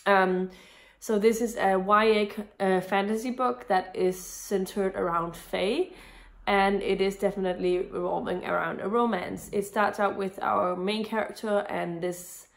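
A woman's voice: mid-range voice, steady tone, unhurried, gravelly. A young woman in her twenties with a voice strained by a cold, chatting casually about books in a home vlog, rambling with frequent restarts. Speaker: book vlogger. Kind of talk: casual book review vlog. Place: home room with bookshelves, indoors.

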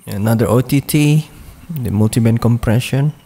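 Male tutorial voice, dark and gravelly, slow and hesitant, desktop mic. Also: medium pitch. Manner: slow, hesitant walkthrough with frequent "uh" and "okay". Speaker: music producer. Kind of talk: screen-recorded production tutorial.

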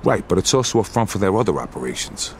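An actor playing a confident, businesslike young mobster with an American accent, assured and blunt in a video game scene. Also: male voice, low voice, sing-song, quick pace, a little mellow, slight background noise. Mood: confident, persuasive. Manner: assured, matter-of-fact, blunt. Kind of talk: video game cutscene acting.

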